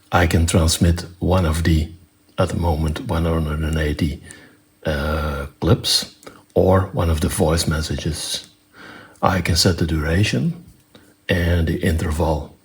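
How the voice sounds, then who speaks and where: A man with a very low voice and a creaky edge walks through an electronics demonstration. He explains it step by step in a plain, matter-of-fact manner. His phrasing is halting and unscripted. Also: a little mellow. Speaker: presenter demonstrating own electronics project.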